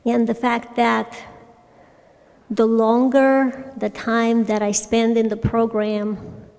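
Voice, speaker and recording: A woman in her forties from Romania, sharing her personal story at a recovery fellowship meeting in a hesitant way, with frequent pauses and restarts. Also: fairly high pitch, level tone, creaky, darkish tone, clear, resonant tone. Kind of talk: personal testimony talk. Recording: long reverb from a large hall.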